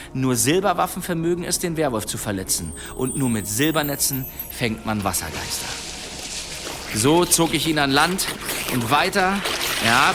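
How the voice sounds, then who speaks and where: Man acting boastful demon hunter, wildly animated storyteller. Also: mid-range voice, close to an everyday voice. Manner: theatrical, suspenseful storytelling. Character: boastful mercenary demon hunter telling tall tales. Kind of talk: German radio play acting.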